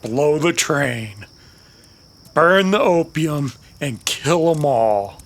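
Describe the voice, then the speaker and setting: An actor playing a vengeful, bossy cowboy with a cold, venomous, commanding manner in a Western radio drama. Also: male voice, fairly high pitch, animated, drawn-out words, exaggerated voice. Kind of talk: old-time radio Western acting.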